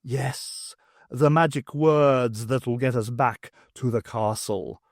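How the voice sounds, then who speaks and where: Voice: mid-range voice, slow, no echo, clean studio sound. A reader voicing a fussy, earnest male sidekick who speaks in an urgent, puffing way.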